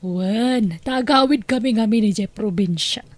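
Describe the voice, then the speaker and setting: An actress playing a generous, wealthy woman employer who speaks in a brisk way. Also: medium pitch, dry recording, natural voice. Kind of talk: radio drama acting.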